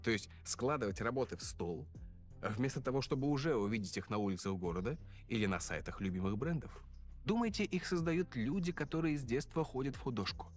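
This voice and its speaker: Man narrator, animated scripted read over faint music. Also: mid-range voice, brisk.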